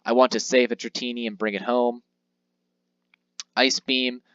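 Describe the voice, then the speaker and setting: A man's voice: medium pitch, level tone, unhurried, fairly bright tone, clear, resonant tone, no echo, highs cut off. A young man casually commenting on his playthrough of a handheld game, rambling and poking fun at himself. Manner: rambling, self-deprecating, reads game text aloud in voices. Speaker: let's play host.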